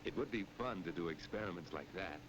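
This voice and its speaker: A man's voice: sits low, expressive, a little fast. A man narrating in the style of a 1940s educational film, on a noisy old film soundtrack.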